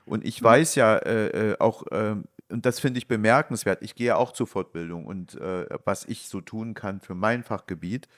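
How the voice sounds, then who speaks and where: A man with a fairly low voice co-hosts a podcast. He talks in a halting way, stopping and restarting with lots of fillers. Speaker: podcast co-host. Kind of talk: casual podcast conversation.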